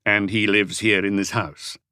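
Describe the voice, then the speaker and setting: A man voicing a calm police chief inspector, asking probing questions in a measured, unhurried way in an audiobook. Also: on the low side, clean tone.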